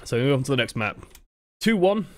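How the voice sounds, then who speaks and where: A man's voice: mid-range voice, very animated, fairly quick, no echo. A man with a dry voice, giving casual, explanatory coaching commentary over gameplay footage. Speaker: game coach.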